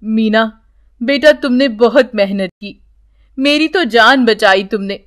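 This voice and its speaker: A woman's voice: fairly high pitch, takes it slowly, a bit bright. A woman voicing a grateful, loving old village grandfather in a storybook tale, tender and heartfelt. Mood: tearful gratitude.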